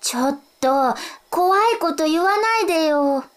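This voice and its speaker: A woman's voice: very high voice, expressive, unhurried. A woman playing a lost young girl in an audio drama, anxious and blunt, with a whiny, casual way of talking. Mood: scared, upset.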